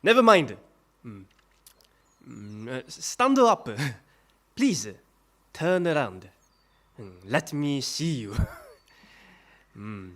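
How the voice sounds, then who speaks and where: Slow, leering Japanese colonel. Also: male voice, mid-range voice, big pitch swings, a bit bright, full character voice. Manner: halting broken English, chuckling, insinuating. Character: leering, powerful Japanese army colonel, foreign English. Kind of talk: audio drama acting.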